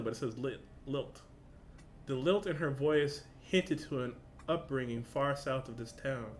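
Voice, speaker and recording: Man, reaction host, casual slangy delivery, medium pitch, reading and commenting, noticeable background noise. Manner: informal, slangy, swearing, exclamatory asides.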